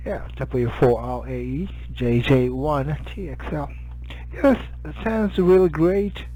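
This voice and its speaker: A middle-aged man with a Japanese accent chatting as an amateur radio operator, halting and often searching for words. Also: a little high, mellow, low-quality recording. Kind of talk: casual radio contact chat.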